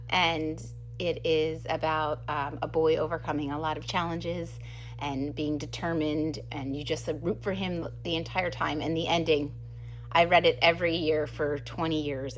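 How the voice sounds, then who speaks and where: A middle-aged woman with a slightly low voice chats casually and eagerly about books like a schoolteacher. She has an enthusiastic, giggly way of talking. The recording carries a faint humming noise. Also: steady tone, moderate pace, a bit bright, rough recording. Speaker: second grade teacher presenting book recommendations. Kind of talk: casual book talk to camera.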